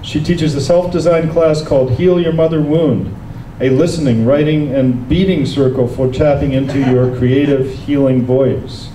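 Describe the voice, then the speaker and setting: Dark-voiced man introducing a reader. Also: a little high, steady tone, normal speed, a little hiss or hum. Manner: reads from a sheet, occasional slips and self-corrections. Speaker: reading series host. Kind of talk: reading a speaker introduction. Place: small stage in a dark club. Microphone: stand mic. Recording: roomy, distant live-room sound.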